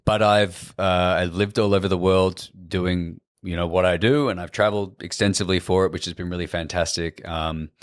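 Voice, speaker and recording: Low-voiced Australian man chatting. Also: normal speed, few pauses, clean tone, dry recording, clean studio sound. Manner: relaxed, chatty, rambling with fillers. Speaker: podcast guest, actor. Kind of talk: casual chat. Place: podcast studio.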